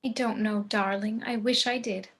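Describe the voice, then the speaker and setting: A woman playing a composed, loving, gently macabre gothic mother in a table read, soothing and deadpan. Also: mid-range voice, moderate pace, breathy edge.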